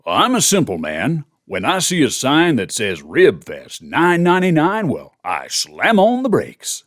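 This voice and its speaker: A man voicing a plain-spoken, folksy simple man in a commercial, with drawn-out emphasis. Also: fairly high pitch, huge pitch swings, a bit bright, dry recording, clean studio sound, voice pushed up, heavily acted. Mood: earnest, hungry. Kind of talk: commercial voice-over read.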